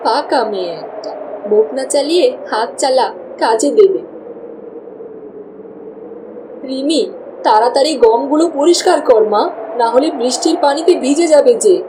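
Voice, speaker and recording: A woman's voice: high voice, animated, normal speed. Cartoon village mother, played by a woman, caring and fretful, affectionate delivery, rain noise behind. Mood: brisk.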